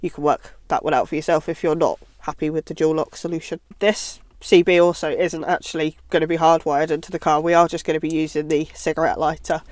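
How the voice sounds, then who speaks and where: A woman's voice: low voice, flat delivery, fairly quick, hardly any pauses, a little mellow, no echo. A British woman giving a casual how-to chat as a vlog presenter inside a car.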